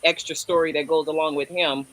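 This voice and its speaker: Low-voiced woman rambling on a livestream. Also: fairly even intonation, a little fast, a bit bright, rough recording. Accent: Brooklyn. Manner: rambling, fills with "you know" constantly. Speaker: livestream co-host. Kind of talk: casual livestream chat.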